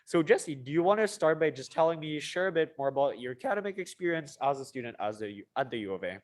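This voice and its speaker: A young man with a fairly high voice hosts a student panel on a video call. He comes from Mauritius and talks informally, feeling his way through his phrases as he presents. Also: expressive, fast. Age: young adult. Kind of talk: informal online presentation, hosting.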